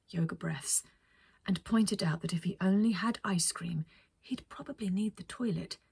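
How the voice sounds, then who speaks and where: A woman reading a children's story as a storyteller, expressive, with dramatic pauses. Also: fairly low pitch, gravelly, husky, no echo. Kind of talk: scripted children's story narration.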